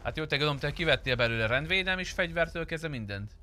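A man's voice: a little high, lively intonation, bright, forceful tone. A man streaming a roleplay game, commenting casually and talking to himself in an informal, sweary way. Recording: clear car and siren noise behind.

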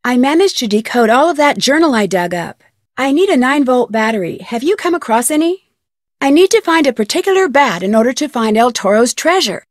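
A woman's voice: high voice, lively intonation, brisk, no echo, clean studio sound. An actress playing an inquisitive, polite young woman detective in an adventure game, speaking earnestly. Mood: earnest, explaining. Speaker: video game lead character. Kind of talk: scripted adventure game dialogue.

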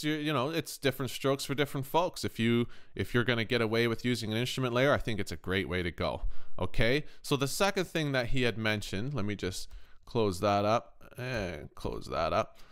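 A man's voice: medium pitch, fairly bright tone, smooth. A man hosting an informal music production tutorial, conversational and thinking aloud as he explains, often checking in with the listener. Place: indoors, voice-over on a screen recording.

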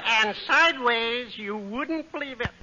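A man's voice: very high voice, unhurried. A man playing a genial, grumbling married man in 1940s radio comedy. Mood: droll complaining. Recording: very noisy, muffled old radio recording.

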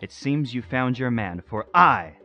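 An earnest, gullible boy played by a woman speaks solemnly and theatrically, with big swings of pitch. It is cartoon game-parody acting with music underneath. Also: lively intonation, a little slow, pushed and bright, heavily acted. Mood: solemn, dramatic. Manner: melodramatic, solemn. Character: earnest, dramatic, gullible boy having identity crisis.

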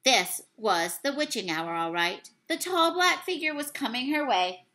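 A young woman, a teacher, reading a children's book aloud at home into a webcam, building suspense and pausing to correct slips. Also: sits high. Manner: expressive storytelling, swells and hushes for suspense; apologizes for slips and losing her place. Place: small room at home, plain wall behind. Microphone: webcam at desk distance.

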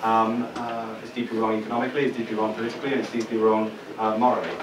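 A man from London, an author, talks on a panel in a low voice, stumbling over repeats and ums. The sound is distant and roomy, with clear background noise. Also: level tone, rapid-fire. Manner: hesitant, full of ums and repeats. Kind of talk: panel discussion remarks. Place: seminar room with audience.

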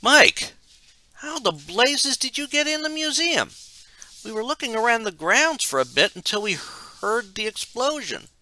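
A man playing a loud, curious, astonished teenage boy in an adventure story. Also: very high-pitched, big pitch swings, a little slow, slight background noise, voice pushed up, exaggerated voice, rough recording.

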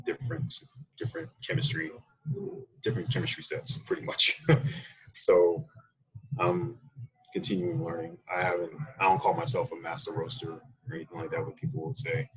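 An American man speaking casually and reflectively as an interview guest on a video call, thinking his way through halting phrases. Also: sits low, gravelly, fairly bright tone, low-quality recording. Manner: halting, thoughtful, unhurried phrasing. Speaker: interview guest, coffee roaster. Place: small room indoors, video call. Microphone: computer mic on a video call.

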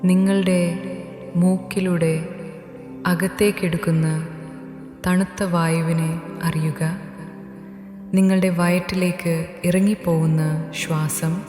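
A woman narrating a guided sleep meditation in a gentle, soothing, instructional manner. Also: lowish voice, flat delivery, slow pace, long pauses. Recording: echoey hall reverb under music. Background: new-age meditation music.

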